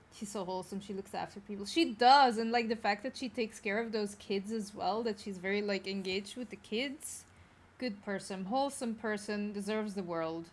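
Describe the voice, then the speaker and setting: A woman with a Flemish accent chats casually with her stream viewers, greeting newcomers. Her voice sits a little high. Faint music plays behind her. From Belgium. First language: Dutch (Flemish). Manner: warm, chatty greetings to viewers. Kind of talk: casual live-stream chat.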